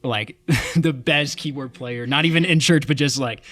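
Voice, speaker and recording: A man's voice: mid-range voice, moderate pace, fairly bright tone, no room sound. A man who plays keys in a worship band, speaking thoughtfully and hesitantly, with many fillers. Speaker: worship band keyboard player. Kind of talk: casual interview chat.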